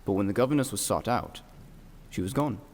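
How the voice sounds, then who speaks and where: An actor playing a reserved, stern young clergyman in an audio drama, speaking formally with dry, measured delivery. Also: fairly low pitch, lively intonation, a little mellow, a little hiss or hum. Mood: cool, deliberate. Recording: long hall-like reverb.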